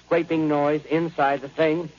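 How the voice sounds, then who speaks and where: An actor with an American accent playing an excitable, breathless radio reporter giving live commentary from a disaster scene in a 1930s radio drama. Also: male voice, on the high side, slight background noise, natural voice. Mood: tense, excited. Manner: breathless, halting, urgent. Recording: dull lo-fi 1930s radio broadcast.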